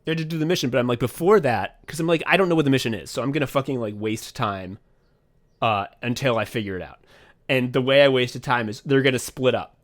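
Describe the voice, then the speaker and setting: A young man chatting casually as a podcast co-host, rambling excitedly and swearing often as he walks through his own thinking. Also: medium pitch, quick pace. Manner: rambling, profane, excitable, acts out his own thought process. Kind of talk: casual behind-the-scenes chat.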